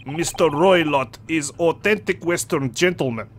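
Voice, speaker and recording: Man putting on a gruff Russian-accented sailor. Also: fairly high pitch, measured pace, lightly acted. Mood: gruff haughty. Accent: mock Russian. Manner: broken English, blunt and haughty. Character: gruff burly Russian sailor, proud and rude. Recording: noisy background ambience.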